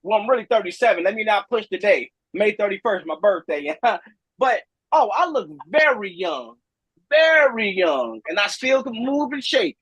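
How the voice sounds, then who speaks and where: A man in his thirties with a husky, fairly high voice, teasing and emphatic as he talks on a video call. The sound is dry and close, with no room around it. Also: animated, normal speed, fairly bright tone, no room sound, poor audio. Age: 30s. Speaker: meeting participant. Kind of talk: casual chat.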